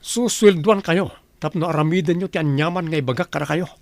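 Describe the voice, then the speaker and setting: A man's voice: a little high, a little fast, no room sound, natural voice. A man voicing a wealthy, domineering master who gives stern commands in a radio drama.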